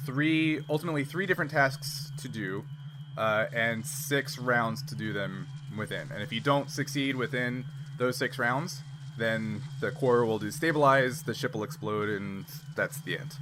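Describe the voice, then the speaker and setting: A man's voice: mid-range voice, unhurried. A man narrating and refereeing a tabletop role-playing game as game master. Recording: noisy group recording with room ambience.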